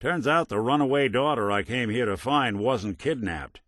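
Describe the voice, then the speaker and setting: An American actor playing a world-weary, gruff but decent synthetic private detective in a noir game, with a dry, sardonic manner. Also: male voice, mid-range voice, expressive, normal speed, a bit bright, dry recording, natural voice. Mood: urgent, reassuring. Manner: dry, sardonic, old-time gumshoe slang. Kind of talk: noir hard-boiled detective game dialogue.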